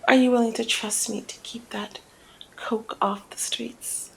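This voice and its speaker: Woman acting flirty mob boss, very creaky murmur. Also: fairly high pitch, level tone. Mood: persuasive, earnest. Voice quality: breathy creaky murmur, often dropping to whisper. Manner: teasing, deliberate, drawn-out phrasing. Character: flirty, cunning female mob boss, coolly persuasive. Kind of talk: ASMR roleplay, intimate scripted monologue.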